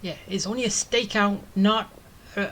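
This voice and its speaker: Casual woman gamer, mid-pitched. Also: normal speed, a bit bright. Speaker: game streamer. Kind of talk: casual live commentary over gameplay. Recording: game music behind.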